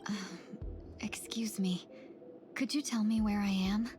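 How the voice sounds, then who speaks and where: Woman acting as polite young game heroine, very slow, soft, hesitant, bewildered, music behind. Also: mid-range voice, fairly even intonation, close to an everyday voice. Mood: lost, wondering.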